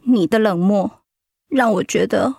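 An actress playing a hurt, outspoken wife recovering from cancer in an emotional, plaintive audio drama performance. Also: female voice, medium pitch, unhurried, creaky, darkish tone, breathy edge. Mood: hurt, despairing.

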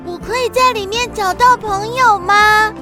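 A woman playing Darkness as a lonely, timid child in a children's radio drama, plaintive and wide-eyed with drawn-out exclamations. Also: extremely high pitch, over loud music. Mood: curious.

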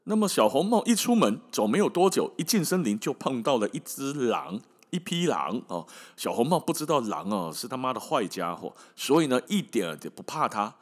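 A man's voice: medium pitch, big pitch swings, normal speed, hardly any pauses. A man with a Taiwanese accent, a podcast host telling a fairy tale casually with irreverent, colloquial comic asides.

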